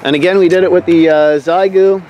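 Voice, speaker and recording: A man's voice: high-pitched, fairly even intonation, fast, dark tone, poor audio. A man, talking casually outdoors as a radio hobbyist and vlogger. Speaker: vlogger and amateur radio operator. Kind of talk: casual outdoor vlog and radio contact talk. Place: outdoors in a grassy backyard. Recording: outdoor camera audio with clear background noise.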